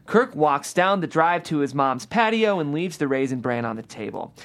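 A woman's voice: low voice, lively intonation, moderate pace. A woman hosting and reading aloud, as at a script table read. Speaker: host and script reader. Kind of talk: reading screenplay action aloud, then interviewing the writer.

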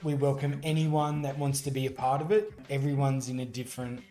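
A man's voice: mid-range voice, brisk, warm tone, roomy sound. An Australian man, a musician guest on a radio show, telling earnest stories with frequent restarts. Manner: earnest storytelling with many restarts. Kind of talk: casual radio interview.

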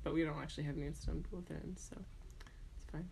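A woman's voice: sits low, steady tone, fast talker, vocal fry, warm tone, a little hiss or hum. Rambling young woman podcaster. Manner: rambling, full of "like" and self-mocking asides. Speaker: podcast co-host. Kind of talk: casual chat.

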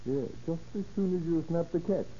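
An actor playing a polite, cautious hardware store clerk, courteous and hesitant, in a 1930s American radio crime drama. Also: male voice, a little high, brisk, warm tone, natural voice. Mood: helpful, uneasy. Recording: dull, hissy old radio recording.

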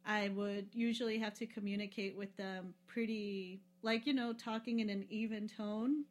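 A woman's voice: mid-range voice, fairly even intonation, normal speed. A woman chatting casually as a podcast guest, loose and rambling, with many fillers and frequent laughs. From lives in Peru. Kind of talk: casual travel chat.

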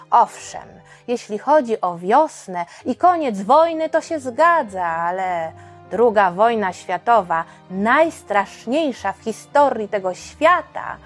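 A woman host narrating a history story for children in a colloquial, expressive way. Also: on the high side. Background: background music.

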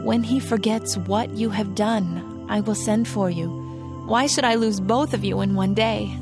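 A woman's voice: medium pitch, unhurried, over music, natural voice. An actress playing a protective, strong-willed biblical mother, earnest and emphatic, in an audio drama. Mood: urgent. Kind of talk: dramatized audio Bible acting.

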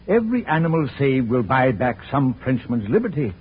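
Decisive French president, heavily husky, authoritative, radio play, thin tinny sound. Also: male voice, medium pitch, sing-song, brisk. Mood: commanding. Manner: authoritative, oratorical. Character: stern, decisive President of France. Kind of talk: old-time radio drama acting. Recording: tinny old radio recording.

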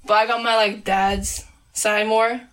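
A young woman telling stories casually among friends, chatty and quick to giggle. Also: medium pitch, flat delivery, fairly bright tone. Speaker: friend in a group chat. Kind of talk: casual chat.

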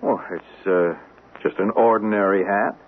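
An actor playing a frank friend of a troubled captain, husky-voiced, in vintage radio drama style. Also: male voice, lowish voice, fairly even intonation, unhurried. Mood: concerned, frank. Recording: tinny old radio recording.

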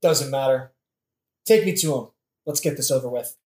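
A man's voice: mid-range voice, normal speed, darkish tone, natural voice. A man playing an insolent young prince with a mocking, profane, sardonic manner in a satirical audio play. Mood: cryptic, dismissive. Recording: echoey room.

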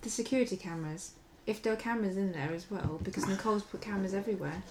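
A woman's voice: mid-range voice, very fast, a crowd in the background. A woman co-hosting a casual podcast, laughing often as she chats. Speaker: podcast co-host. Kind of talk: casual chat.

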